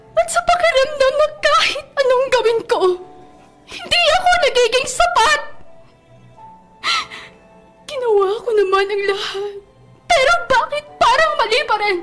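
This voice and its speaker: A woman playing a hurt, weary teenage daughter in a radio drama, speaking earnestly and pleadingly. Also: very high voice. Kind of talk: melodramatic radio drama acting.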